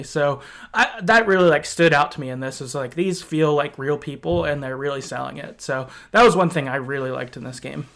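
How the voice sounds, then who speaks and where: A man with a voice a little on the high side hosts a podcast. He speaks casually, in an agreeable, affirming manner. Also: fairly bright tone. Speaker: podcast host.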